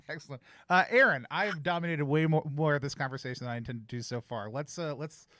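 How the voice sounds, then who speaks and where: A man's voice: a little high, normal speed, bright, forceful tone, no room sound, clean studio sound. A man hosting a podcast, talking in a playful, self-deprecating way. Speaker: host. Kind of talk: casual podcast chat.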